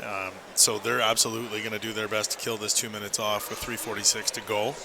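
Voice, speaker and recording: A man's voice: lowish voice, flat delivery, fast, gravelly. A man giving opinionated, emphatic color commentary on a live hockey radio broadcast. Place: indoor ice rink. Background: loud rink ambience and noise.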